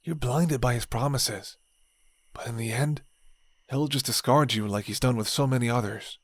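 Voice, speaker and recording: A man's voice: mid-range voice, normal speed, dry recording, natural voice. A man voicing a sardonic rebel leader who mocks an old friend and then pleads earnestly.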